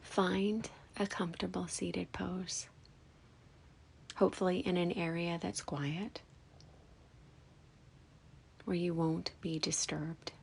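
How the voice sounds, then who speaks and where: A woman leading a guided meditation, speaking softly and soothingly in short phrases with long pauses and breathy, whispery endings. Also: lowish voice, fairly even intonation. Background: background music.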